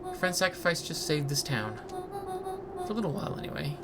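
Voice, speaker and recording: A woman voicing an aloof, cryptic male creature who explains things in a measured, formal way for a game. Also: low voice, very animated. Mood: detached, explaining. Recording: loud game music behind.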